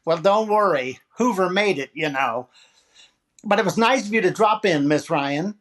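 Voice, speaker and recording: A man's voice: sits high, lively intonation, moderate pace, a bit bright. A man playing a vain, self-pitying comedian who wisecracks in a plaintive, wry way, in 1940s radio comedy style. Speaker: actor in a radio comedy recreation.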